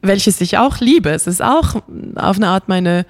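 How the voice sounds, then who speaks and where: A woman's voice: medium pitch, animated, measured pace, no echo. A young woman, a classical singer raised bilingual in Bosnian, chatting animatedly in an interview with many fillers and light laughs. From Switzerland. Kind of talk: casual interview chat.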